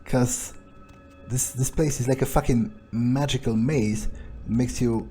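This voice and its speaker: A cocky fledgling vampire played by a male gamer thinks aloud in a video game playthrough. His mid-pitched voice is casual and sardonic, musing with hesitations over faint music. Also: steady tone, unhurried, mellow, lightly acted. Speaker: gamer role-playing his character.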